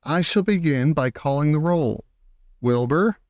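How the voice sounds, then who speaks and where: A man voicing a female spider in a storybook, brisk, orderly and slightly impatient as she runs things. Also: a little high, animated, a little mellow, no room sound. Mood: brisk, calling roll. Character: female spider, brisk bossy meeting leader.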